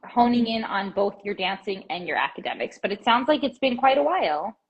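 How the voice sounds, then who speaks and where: A woman with a slightly husky, breathy voice hosts a relaxed interview, full of encouraging remarks and little restarts. Her pitch sits in a middle range for a woman. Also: fairly quick, lo-fi sound. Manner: warm, affirming, many fillers and restarts. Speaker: podcast host, dietitian. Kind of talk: casual interview chat. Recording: intro over music.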